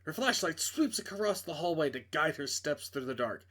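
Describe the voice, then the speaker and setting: A man's voice: a little high, big pitch swings, moderate pace, fairly bright tone. A man reading a visual novel aloud as a streamer, narrating dramatically and breaking off into casual, joking asides to correct himself.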